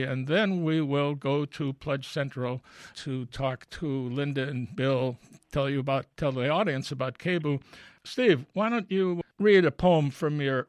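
A male radio host with a slightly raised pitch, recorded dry and close in a studio. He talks conversationally, lifting his voice when stressing a point. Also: fairly bright tone, no echo. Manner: conversational, rising emphatic when quoting. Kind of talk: radio interview.

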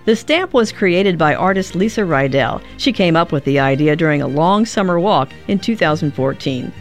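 Volunteer reading a radio script, really varied pitch, bit of music behind. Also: lively intonation, normal speed. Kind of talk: scripted narration.

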